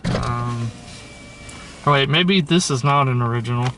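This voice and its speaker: Male, mid-pitched, informal collector-host musing aloud with opinions, faint noise behind him. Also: unhurried. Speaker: host showing a thrift store tape collection. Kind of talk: casual show-and-tell commentary.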